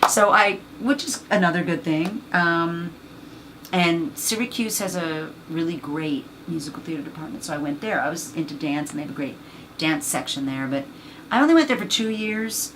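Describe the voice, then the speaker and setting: A woman with a husky, low voice, chatting as an interview guest about her acting career, rambling and filling gaps with "you know.". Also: moderate pace, fairly bright tone, a little hiss or hum, rough recording. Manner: rambling, many fillers. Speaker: actress and voice actor guest. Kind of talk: casual interview chat.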